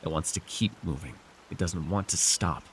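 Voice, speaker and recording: A man voicing a scheming, weary villain lord in an immersive fantasy ASMR roleplay, wry and persuasive, speaking theatrically with halting, musing pauses and sighs. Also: sits low, slight background noise, close to an everyday voice. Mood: patient, condescending. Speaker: voice actor.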